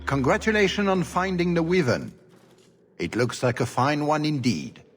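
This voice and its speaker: An actor playing a suave, theatrical, sardonic duke in a video game, speaking with flowery, mocking courtesy. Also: male voice, a little high, expressive, moderate pace, faint music behind. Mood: grandly gracious. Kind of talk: video game cutscene acting.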